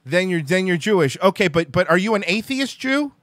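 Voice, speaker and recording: Brash, mocking high-voiced male host. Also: sing-song, fairly quick, a bit bright, no echo, studio quality. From Cuba. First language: Spanish. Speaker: radio show host. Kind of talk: insult-heavy provocative interview.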